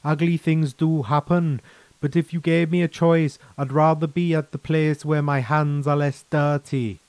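A man's voice: fairly high pitch, flat delivery, measured pace, darkish tone, no room sound. A man voicing a chatty, frank, easygoing veteran soldier in a sci-fi story, with colloquial, mildly profane, persuasive talk.

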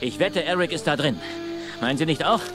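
A man's voice: fairly high pitch, lively intonation, brisk, music in the background, natural voice. A man acting a worried, earnest father determined to find his son in a German audio drama. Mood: earnest, reassuring.

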